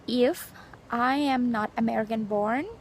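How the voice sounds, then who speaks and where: Woman, 40s, Thai accent, Thai native speaker, high voice, earnest, halting, lots of ums. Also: slight background noise. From Thailand. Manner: earnest, halting, frequent fillers and restarts. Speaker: nurse sharing exam experience. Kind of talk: casual personal vlog, storytelling and advice.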